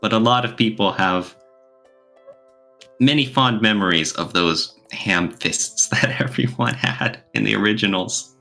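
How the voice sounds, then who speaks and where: A man's voice: lowish voice, measured pace, vocal fry, bright, forceful tone, faint music behind. A man co-hosting a podcast, sharing opinions in casual conversation. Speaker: podcast co-host.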